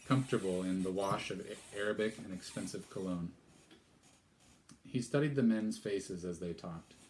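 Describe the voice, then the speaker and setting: A man reading a novel aloud, pausing for relaxed, chatty asides. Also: on the low side, slight background noise. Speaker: storyteller. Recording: echoey room.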